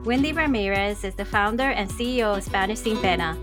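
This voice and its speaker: A woman who co-hosts a podcast, reading a scripted guest introduction in a smooth, upbeat way. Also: medium pitch, brisk, music in the background. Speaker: podcast co-host.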